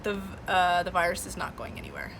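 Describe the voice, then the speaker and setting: A woman's voice: lowish voice, huge pitch swings, fast, noisy background. A woman whose first language is Farsi, hosting a live stream from her home, talking earnestly about current events and answering chat with many fillers and restarts. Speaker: livestream host. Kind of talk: casual political commentary, reading chat. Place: home room with boxes behind.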